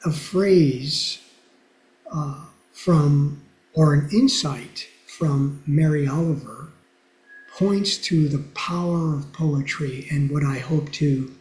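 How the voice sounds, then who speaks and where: A soft-spoken man with a low, quiet voice, teaching mindfulness and guiding a meditation gently, with pauses and fillers over a video call. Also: reverberant, rough recording. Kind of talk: lecture and guided meditation.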